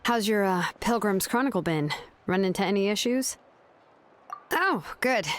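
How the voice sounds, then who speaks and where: A woman's voice: medium pitch, slight background noise, natural voice. An actress playing an easygoing adult craftswoman in a video game, talking in a laid-back, matter-of-fact way. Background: game music.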